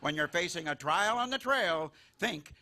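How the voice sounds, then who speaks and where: A man hosts a live radio-style show in scripted announcer fashion. His voice is high and very lively, bright and measured in pace, with faint noise behind it. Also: vocal fry.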